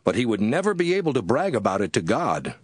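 A man reading scripture as a scripted audiobook, with an emphatic, measured and rhetorical delivery. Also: mid-range voice, big pitch swings. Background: music under the voice.

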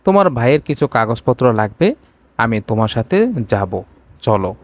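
A man acts out a bossy, impatient young tycoon from a romantic audio story. He talks in terse commands at a medium pitch, recorded dry and close. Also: sing-song, normal speed, dry recording, natural voice. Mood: businesslike. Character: curt, bossy rich young businessman.

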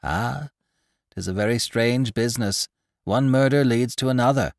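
A man's voice: medium pitch, normal speed, vocal fry, no echo, clean studio sound, voice pushed up, close to an everyday voice. A man voicing a stout, gossipy older woman shopkeeper in an audiobook, chattering in a breathless, exclamatory way. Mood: gossiping. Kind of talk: audiobook character reading.

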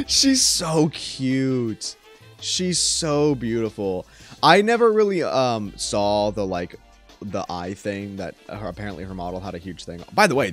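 A young man doing casual, enthusiastic and rambling reaction commentary as a streamer. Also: fairly low pitch. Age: young adult. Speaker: streamer reacting to a game trailer. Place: small room at home. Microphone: close desk microphone.

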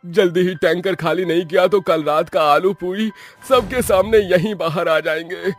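A man's voice: high-pitched, fairly even intonation, moderate pace, soft background music, pitched above the performer's own voice. A man voicing a comic, panicky village man with an upset stomach, whining and exclaiming dramatically in a cartoon story. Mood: desperate whining. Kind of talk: Hindi cartoon horror-comedy voice acting.

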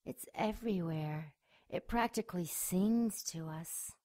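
A woman's voice: lowish voice, unhurried, warm tone. A woman playing a seductive, predatory winged succubus in an audio drama, with a sultry, taunting manner. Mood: defiant, scornful.

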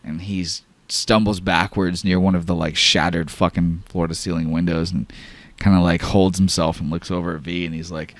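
A man's voice: sits low, level tone, moderate pace, no room sound. A man narrating an improvised tabletop sci-fi horror game as game master, in a casual, profane, dramatic way.

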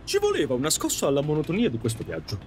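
High-pitched, flamboyant male fairy. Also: huge pitch swings, racing, a little mellow, soft background music. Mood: teasing, placating. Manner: theatrical, effusive, pompous declarations. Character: flamboyant, doting, grandiloquent male fairy in the afterlife. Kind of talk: audio drama acting.

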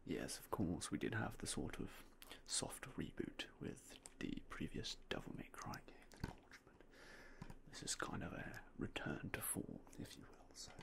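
A young man whispering in a polite, unhurried way as a game store clerk in an ASMR roleplay, close to a binaural mic. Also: a bass voice, sing-song, vocal fry. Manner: soft, polite, unhurried shopkeeper talk with fillers. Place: indoors in front of a game store backdrop. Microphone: binaural mic close to the face.

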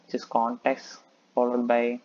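A man's voice: mid-range voice, completely flat delivery, unhurried, a little mellow, muffled recording. A man talking through a coding tutorial, explaining step by step and thinking aloud with frequent "okay". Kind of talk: screen-recorded coding tutorial.

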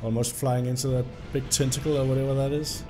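A young man, slightly hoarse from illness, commenting on a game and reading its story text aloud. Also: mid-range voice, quick pace, over music. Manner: reads the story text aloud in an even narrating tone. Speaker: gameplay video host. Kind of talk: casual gameplay commentary.

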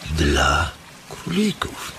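An actor playing a weary, protective, sorrowful farmhand in a radio drama, speaking in a halting, tender way. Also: male voice, rumbling low, huge pitch swings, vocal fry, exaggerated voice. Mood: tender, sad. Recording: noisy nature ambience behind.

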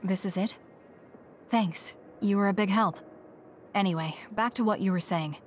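A cool, aloof young woman soldier from an anime game, voiced by an actress. Her mid-pitched voice is blunt and curt, with a matter-of-fact delivery. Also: moderate pace, slight background noise, lightly acted. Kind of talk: anime-style video game dubbing.

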